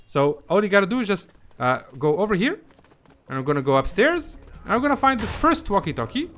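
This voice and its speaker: A man's voice: high-pitched, big pitch swings, a little fast, fairly bright tone. A man giving an informal, hesitant gameplay guide, often repeating himself as he goes. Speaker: gaming video host. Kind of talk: casual gameplay guide commentary.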